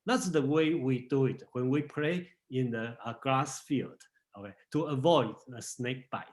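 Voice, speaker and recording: A man's voice: mid-range voice, unhurried. A man from Taiwan leading a casual group discussion, often repeating words and saying okay while he thinks. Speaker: discussion leader.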